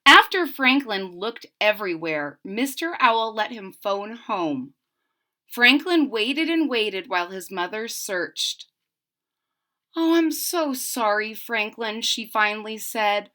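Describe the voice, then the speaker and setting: A woman reading a storybook aloud for children, expressive and child-directed, adding simple explanatory asides. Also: medium pitch, takes it slowly. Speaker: storybook reader.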